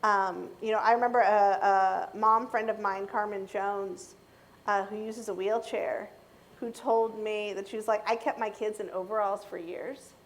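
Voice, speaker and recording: A middle-aged American woman speaking casually and anecdotally to an audience, acting out dialogue in an animated way. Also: mid-range voice, measured pace, slight background noise, rough recording. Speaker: keynote speaker on a panel.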